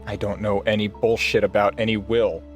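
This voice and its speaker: A man playing a blunt, steady private investigator in a tabletop roleplaying game. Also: on the low side, soft background music, lightly acted. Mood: defiant. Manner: blunt, measured, earnest.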